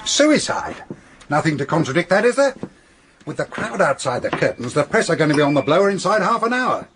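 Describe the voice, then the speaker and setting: An actor playing a brisk, impatient police chief in a radio drama, clipped, authoritative and sarcastic. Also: male voice, on the high side, sing-song, background noise. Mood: brisk, dismissive. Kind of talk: British radio drama dialogue.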